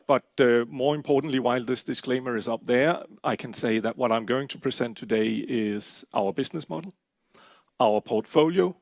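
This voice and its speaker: A man's voice: medium pitch, creaky, dry recording, studio quality. A middle-aged Danish man, speaking English with a Danish accent, presenting his company at an investor event in a businesslike way. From Denmark. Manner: businesslike, steady with frequent uh fillers.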